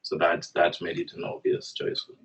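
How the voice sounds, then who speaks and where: A young man from Kenya answering panel questions in a measured way, with frequent fillers. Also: low voice, huge pitch swings, fairly bright tone, husky. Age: young adult. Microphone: video call. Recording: distant, dull video-call audio.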